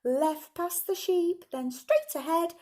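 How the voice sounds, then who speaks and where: A woman's voice: very high voice, slow pace, dark tone, voice pushed up. A woman voicing a small, clever female ladybird urgently warning the animals in a sing-song children's storybook rhyme. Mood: urgent, secretive. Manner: sing-song rhyming delivery. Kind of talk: children's storybook read aloud.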